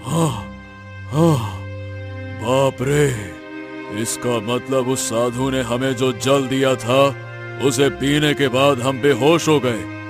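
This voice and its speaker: A man's voice: medium pitch, fairly even intonation, slow. A man playing a proud, outwitted king in a cartoon story, with a dramatic, stately delivery over music. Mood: dismayed. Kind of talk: animated story character acting. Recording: background music under the voice.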